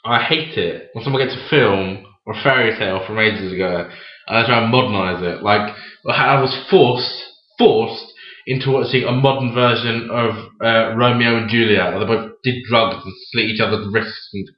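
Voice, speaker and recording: Lively young man, echoey lo-fi room. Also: on the low side, normal speed. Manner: animated storyteller, slips into playful voices. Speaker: podcast co-host. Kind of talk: casual chat. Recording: echoey room, dull lo-fi sound.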